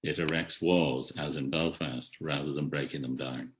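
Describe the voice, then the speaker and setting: A man giving a reflective academic talk in a webinar, measured, with frequent restarts and words that trail off softly. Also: a bass voice, fairly even intonation, slight background noise. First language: not an Irish speaker. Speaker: webinar speaker. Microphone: video call.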